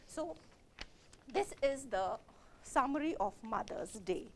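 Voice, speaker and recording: A woman's voice: high voice, expressive, slow, slight background noise. A woman with a Kashmiri accent giving a literature lecture as a college lecturer, explaining steadily with frequent fillers and self-corrections.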